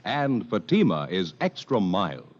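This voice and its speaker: A man's voice: low-pitched, sing-song, a little slow, husky. An American man reading a 1950s radio commercial with an emphatic salesman's delivery. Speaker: commercial announcer. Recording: muffled vintage radio recording.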